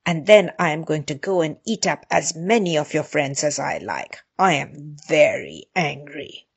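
A woman's voice: low-pitched, lively intonation, a little slow, creaky, breathy edge, exaggerated voice. A woman voicing a proud, hot-tempered, greedy lion king with an imperious, demanding manner in a storybook tale. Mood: angry.

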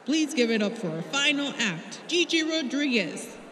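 A man voicing an excited drama teacher announcing a school talent show in a story. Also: very high voice, normal speed, heavily acted. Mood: showy, excited. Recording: echoing auditorium with crowd, faint noise.

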